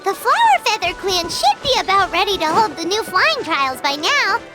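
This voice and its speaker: A woman voicing a small, excitable childlike fairy companion in a video game, squeaky and perky. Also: normal speed, music in the background. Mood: chirpy. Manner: perky, exclaiming, speaks of herself in third person.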